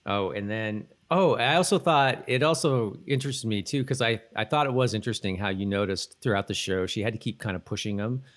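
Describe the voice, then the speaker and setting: Slightly deep man, rambling podcaster. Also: fairly quick, few pauses. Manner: rambling, often rephrases mid-thought. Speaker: podcast co-host. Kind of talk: casual chat, TV episode discussion.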